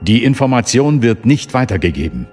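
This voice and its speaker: An actor playing a serious, resolute Jedi master, stern and questioning, in a radio drama. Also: male voice, medium pitch, very animated, brisk, a little mellow, husky, faint music behind.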